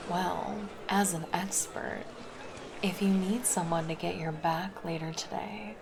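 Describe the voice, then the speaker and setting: A relaxed, flirty lifeguard, as a woman plays her with a low, gravelly voice, drawling slowly and teasing between easygoing remarks. The ASMR roleplay sits over loud beach ambience. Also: background noise. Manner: casual, teasing, easygoing chatter.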